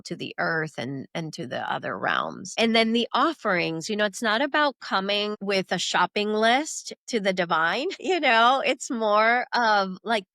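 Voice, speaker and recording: A woman from Venezuela speaks as a guest in an unhurried spiritual conversation. She is reflective and loose, with a middling voice. Her voice is recorded close and dry. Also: medium pitch, a bit bright, dry recording.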